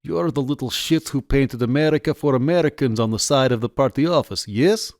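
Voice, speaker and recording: A man's voice: medium pitch, brisk, a little mellow, no room sound, studio quality, voice pushed up, lightly acted. A man voicing a menacing Russian party officer with a heavy accent, condescending and mockingly formal.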